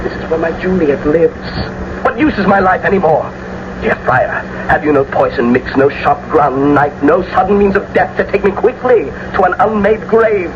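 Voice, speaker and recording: Impetuous young lover male actor, anguished, theatrical radio drama, slightly high pitch, dull old broadcast sound. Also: normal speed, natural voice. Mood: despairing. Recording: muffled, noisy old radio broadcast recording.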